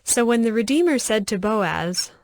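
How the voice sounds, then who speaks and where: A woman's voice: a little high, expressive, moderate pace. A woman giving a scripted narration of scripture as the narrator of a dramatized audio production.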